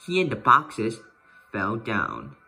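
A man reads a children's story aloud as the narrator. His voice is bright and clearly projected, with very lively rises and falls. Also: lowish voice, slow, faint music behind. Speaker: storybook reader.

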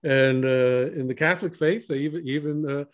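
A man's voice: a little high, fairly even intonation, normal speed. A man co-hosting a podcast in a casual, folksy way, repeating short phrases for emphasis. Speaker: podcast co-host. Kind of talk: casual, conversational discussion.

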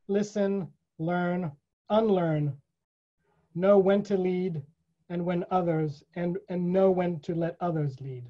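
An older man hosting an online event, giving welcoming remarks in a measured way, pausing and repeating phrases deliberately. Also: sits high, dark tone. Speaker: event host. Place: small indoor room. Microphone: computer mic on a video call. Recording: dull, lo-fi video call audio.

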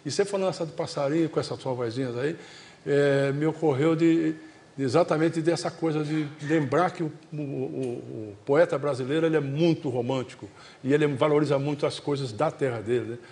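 A man's voice: on the high side, brisk, a little mellow. A man in his seventies with a Brazilian Portuguese accent, hosting a casual, folksy chat in a rambling, repetitive way full of diminutives. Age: 70s. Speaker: television show host. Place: TV studio stage before an audience. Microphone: lapel mic.

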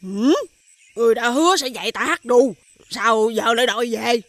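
A woman voicing a fierce, suspicious demon mother in an animated fairy tale, with a brash, demanding manner. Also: a little high, normal speed, dry recording. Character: fierce, suspicious demon mother who sings clumsy lullabies. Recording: music behind the voice.

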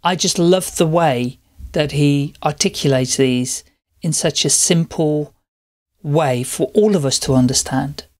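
A man's voice: a little high, a little slow, a little mellow, no echo, studio quality. Upbeat male podcast host. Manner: upbeat, enthusiastic, friendly questions. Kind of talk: podcast interview.

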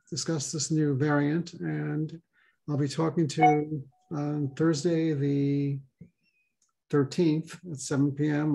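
A man hosting a talk over a video call, speaking haltingly with many pauses and ums. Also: a little high, vocal fry, mellow, poor audio. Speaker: host and co-chair.

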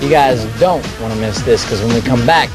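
A man's voice: mid-range voice, a little fast. A young man hosting a music countdown in an upbeat, announcer-like way from a concert venue. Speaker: band member co-hosting a countdown. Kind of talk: energetic show hosting.

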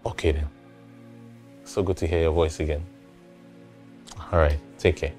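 Deep-voiced smooth-talking male lover. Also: fairly even intonation, measured pace, a little mellow, faint music behind. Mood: casual, cheerful. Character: smooth-talking male lover, evasive and defensive when cornered. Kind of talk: film drama acting.

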